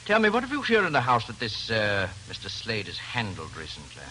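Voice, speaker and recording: An actor playing a brisk, authoritative police inspector in 1940s radio detective drama, commanding and clipped. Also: male voice, fairly low pitch, wildly swooping pitch, noisy background. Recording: old radio broadcast, music behind.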